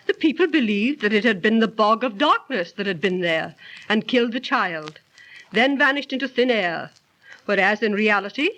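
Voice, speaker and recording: A woman's voice: a little high, fairly bright tone, rough recording. A woman playing a patient, instructive aunt who tells stories in an emphatic, explanatory way in a 1930s radio drama.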